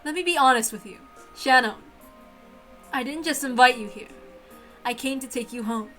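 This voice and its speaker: A woman's voice: sits high, moderate pace, bright, forceful tone, faint music behind. A woman voicing a grand, possessive witch in formal, theatrical speech, lonely and insistent, as in a visual novel reading. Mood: insistent, fond.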